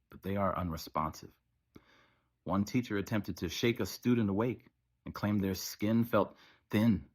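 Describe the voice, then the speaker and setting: A man narrating scripted horror as an official emergency broadcast, in a formal, measured, ominous manner. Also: low-pitched.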